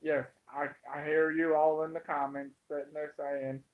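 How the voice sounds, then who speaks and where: An American man giving an informal, opinionated monologue to viewers, emphatic and halting, repeating himself to drive points home. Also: on the high side, little pitch movement. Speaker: online commentator. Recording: dull, muffled lo-fi sound.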